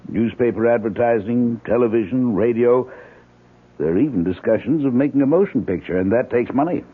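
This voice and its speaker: An actor playing a frightened, evasive middle-aged businessman father, husky and reluctant, in old-time radio style. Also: mid-range voice, fairly quick, phone-quality sound, close to an everyday voice. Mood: resigned, reluctant. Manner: guarded, reluctant. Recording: thin, band-limited old radio recording.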